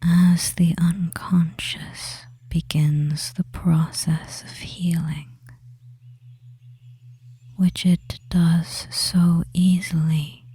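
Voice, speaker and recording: A performer as a very slow whispering hypnotic witch. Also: mid-range voice, level tone, dark tone, exaggerated voice. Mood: soothing, hypnotic. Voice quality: breathy whisper. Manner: slow, soothing, hypnotic, pausing between short phrases. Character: devious male witch lulling a captive, soft and controlling. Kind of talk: ASMR hypnosis roleplay.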